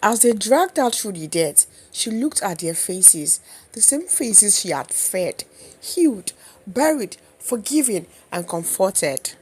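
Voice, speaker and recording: Expressive Nigerian woman telling a dramatic folktale. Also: medium pitch, takes it slowly, husky. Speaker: storyteller.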